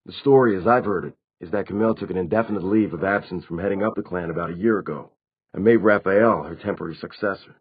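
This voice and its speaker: A man voicing a grave, measured adult werewolf pack leader in an audiobook story, speaking in a neutral, serious tone. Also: low-pitched, husky, phone-quality sound. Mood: calm, questioning.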